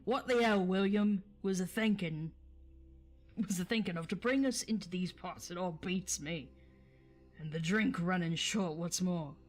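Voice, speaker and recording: A woman voicing a coarse, quarrelsome male troll with a rough put-on accent in a storybook reading. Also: fairly low pitch, measured pace, faint music behind.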